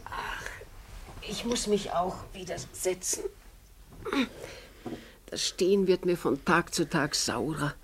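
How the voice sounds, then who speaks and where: An actress playing a frail, kindly old widowed shopkeeper and mother, measured and homely, in old radio drama style. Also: on the low side, expressive, a little hiss or hum. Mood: weary, courteous. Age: older. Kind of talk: 1950s-style German radio play acting. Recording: old radio play with music behind.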